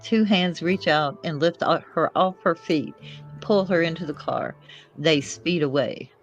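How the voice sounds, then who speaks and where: A woman's voice: sits low, a little slow, muffled recording, faint music behind. A woman narrating screenplay action in a loose, informal way, sometimes stumbling over the script. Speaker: co-reader of screenplay.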